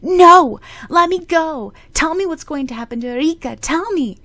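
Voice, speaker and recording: A woman voicing a seven-year-old boy who is protective of his twin, speaking in a gentle, reassuring way. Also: mid-range voice, fairly even intonation, moderate pace, creaky. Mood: desperate.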